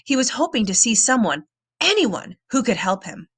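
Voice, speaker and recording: A woman reading a children's book aloud as a storyteller, with expressive, dramatic and suspenseful delivery. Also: a little high, normal speed, slightly rough, dry recording.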